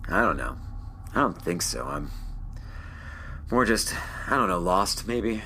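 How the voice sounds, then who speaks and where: An actor playing a weary, self-doubting starship captain in an audio drama, with a hesitant, subdued, thoughtful delivery. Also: male voice, on the low side, expressive, a little slow, bright, forceful tone, a little hiss or hum, heavily acted. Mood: weary, lost. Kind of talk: audio drama acting, naturalistic dialogue.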